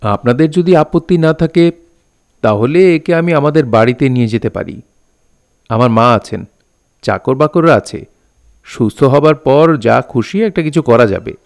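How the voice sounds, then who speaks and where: A man's voice: medium pitch, expressive, a little slow, vocal fry, pauses often. A man acting an earnest, devoted young doctor and son in an audio drama, with a hesitant, emotional manner. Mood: kind, composed.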